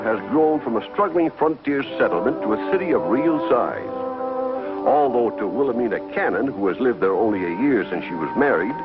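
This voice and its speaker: Man playing a lively old western storyteller. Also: mid-range voice, natural voice. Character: genial old frontier ranger, folksy storyteller. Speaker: host and narrator. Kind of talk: 1950s TV western introduction. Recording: muffled 1950s TV soundtrack, music behind.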